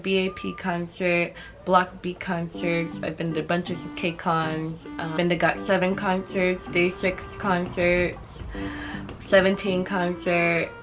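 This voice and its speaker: A woman's voice: fairly low pitch, low-quality recording. A woman in her twenties with an American accent chatting casually and informally to camera as a vlogger, in a bubbly way. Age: 20s. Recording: music playing behind the voice.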